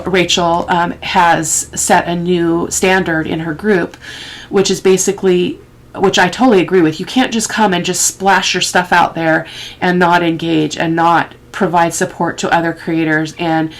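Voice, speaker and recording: A middle-aged woman with a head cold, sniffly, giving a casual craft tutorial and thinking aloud in a halting way as she works. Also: lowish voice. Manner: unhurried, halting, thinking aloud while working. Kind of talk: casual, rambling craft process talk. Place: indoors at a craft table.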